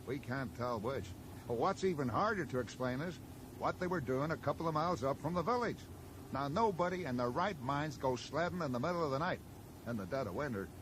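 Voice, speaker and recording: A man's voice: mid-range voice, lively intonation, brisk, natural voice. A man playing a blunt, probing small-town American sheriff in a radio drama, speaking in a folksy, matter-of-fact way. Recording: noisy 1980s radio drama broadcast.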